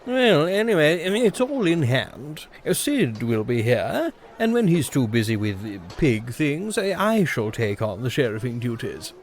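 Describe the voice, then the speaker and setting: A man's voice: medium pitch, big pitch swings, measured pace, few pauses, slight background noise. An actor playing a vague, well-meaning medieval king in an audio comedy, hesitant and mildly pompous. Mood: vague, kindly. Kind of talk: audio comedy drama acting.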